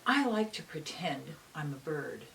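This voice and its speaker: A woman reading a picture book aloud to young children in a gentle, teacherly manner, often pausing to put questions to them. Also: sits low, big pitch swings, gravelly. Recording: distant mic with faint hiss.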